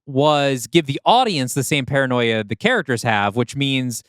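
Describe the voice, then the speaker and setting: A man's voice: medium pitch, expressive, moderate pace, a bit bright, clear, resonant tone, no room sound, studio quality. A man co-hosting a podcast, moving between reading a screenplay aloud and talking about it. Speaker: podcast co-host. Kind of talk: scripted screenplay narration and casual creative discussion.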